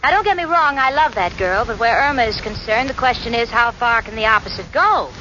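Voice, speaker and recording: An actress playing a wry, fond, long-suffering young roommate who narrates a 1940s radio comedy. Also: female voice, on the high side, lively intonation, brisk, pushed and bright. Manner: wry, confiding. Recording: muffled, noisy 1940s radio broadcast.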